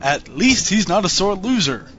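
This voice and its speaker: A man as a highish-voiced deadpan reporter. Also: normal speed, dry recording, lo-fi sound, full character voice. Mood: dry, probing. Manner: deadpan, formal. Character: straight-faced TV political field reporter. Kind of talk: parody news reporting.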